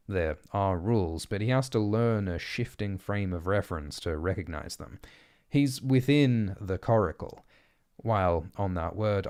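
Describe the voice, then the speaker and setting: A man reading essays and letters aloud as an audiobook narrator, in a measured, deliberate and articulate way. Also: on the low side, darkish tone. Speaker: audiobook reader.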